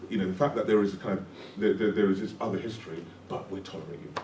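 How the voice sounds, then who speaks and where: A man's voice: low-pitched, rapid-fire, a little mellow, a little hiss or hum. A British-born man hosting an academic conversation, thinking aloud and often repeating words as he works through an idea. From Britain. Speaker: academic host. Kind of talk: conversational discussion. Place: room at a public talk event. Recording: roomy, distant mic, faint ambience.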